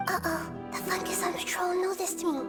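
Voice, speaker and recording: A woman playing a small, childlike girl deity in a game, careful and thoughtful, thinking aloud in a measured way. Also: very high voice, flat delivery, over loud music. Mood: watchful.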